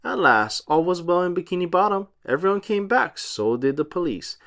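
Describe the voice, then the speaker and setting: A man reading a storybook aloud for children in an animated style. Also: high voice, normal speed.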